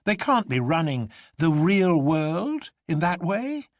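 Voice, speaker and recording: A man voicing an earnest, incredulous gentleman detective in an audiobook, animated and articulate. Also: on the high side, slow, dry recording, studio quality, voice pushed up, exaggerated voice. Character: Syme, earnest incredulous English gentleman detective, exaggeratedly cheerful.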